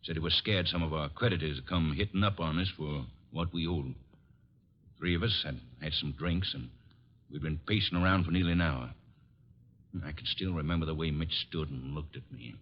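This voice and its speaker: A deep American male voice playing a big, grim, stubborn man out for revenge. It comes through a muffled old radio recording, in the style of 1940s crime drama. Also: moderate pace, a little hiss or hum. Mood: brooding recollection.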